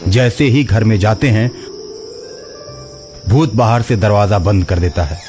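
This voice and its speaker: A man's voice: fairly low pitch, a little slow, over music. A man narrating a scripted moral story as a storyteller.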